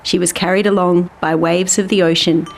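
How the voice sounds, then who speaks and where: A woman's voice: on the low side, steady tone, moderate pace, creaky, slight background noise, low-quality recording. A woman narrating a scripted children's story as its storyteller. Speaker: author and storyteller.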